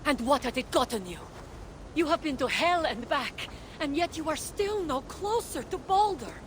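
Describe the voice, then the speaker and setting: An actress playing a devoted, anxious goddess and wife in a video game cutscene, speaking gravely and earnestly, with pleading concern. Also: female voice, expressive, moderate pace. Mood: worried, pleading. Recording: loud rumbling game background noise.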